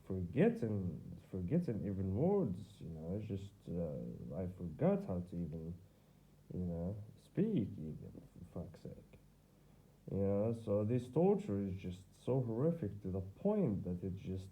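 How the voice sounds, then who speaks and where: A tired man whose first language is Arabic, talking in a halting, repetitive way full of fillers and restarts, with bursts of swearing as he records a personal video diary. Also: deep voice, warm tone, poor audio. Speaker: vlogger.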